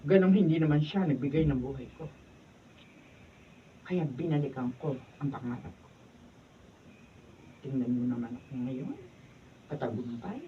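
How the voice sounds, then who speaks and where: A woman's voice: very deep voice, moderate pace, pauses often. A woman with a Filipino accent acting an assertive, motherly mentor in a radio drama, emphatic and admonishing. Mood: reflective, firm. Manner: emphatic, admonishing, mixes English into Tagalog. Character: assertive, motherly older female mentor, successful professional. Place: radio drama recording session. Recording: distant, noisy, dull camera audio.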